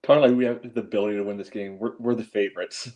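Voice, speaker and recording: A man's voice: fairly low pitch, steady tone, rapid-fire, mellow. A man co-hosting a sports podcast, giving casual analysis in a matter-of-fact way.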